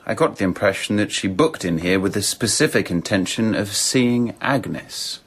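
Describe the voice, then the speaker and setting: An actor playing a calm, courteous English gentleman in a radio drama, understated and well-spoken, slightly husky. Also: lowish voice, moderate pace. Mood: reserved concern.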